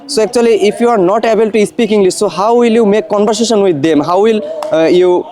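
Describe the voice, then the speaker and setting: A man with a fairly high but mellow voice, explaining things in English as his second language. His first language is Bengali, and he often pauses and restarts his sentences. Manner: hesitant, repeats phrases while searching for words. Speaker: English teacher. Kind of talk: spoken English practice conversation.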